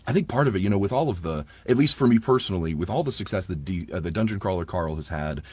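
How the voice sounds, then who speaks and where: A man's voice: deep voice, animated, fast talker, darkish tone, husky, no room sound. A man speaking casually and earnestly as an interview guest on audiobook work, often padding his sentences with "you know.". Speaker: guest, audiobook narrator and producer.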